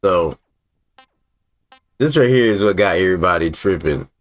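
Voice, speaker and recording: A man's voice: sits low, lively intonation, normal speed. A man hosting a gaming video with casual, conversational walkthrough commentary, repeating points for emphasis. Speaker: gaming YouTuber, host.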